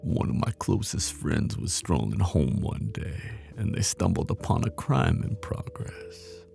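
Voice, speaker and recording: A man playing a flirty, menacing mob boss in an intimate ASMR roleplay, with a teasing, cocky drawl and a deep, gravelly voice. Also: expressive, measured pace, darkish tone, faint music behind, exaggerated voice. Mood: smug, businesslike.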